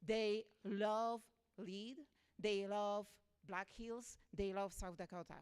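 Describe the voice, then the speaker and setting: A woman with a Polish accent speaks to a hall full of people, gushing and emotional as she thanks them. Her voice is smooth and resonant, at a middle pitch for a woman. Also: fairly even intonation, takes it slowly, darkish tone. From Poland. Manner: emotional and effusive, gushing with enthusiasm. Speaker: physics workshop founder. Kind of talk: warm public welcome speech. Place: lecture event hall.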